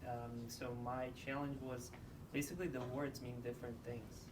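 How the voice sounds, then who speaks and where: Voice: mid-range voice, little pitch movement, moderate pace. An audience member whose first language is Ukrainian, answering a question hesitantly from the seats. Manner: hesitant, answers in short bursts. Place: audience seats in event room, away from the mic. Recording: distant and noisy, picked up from the audience.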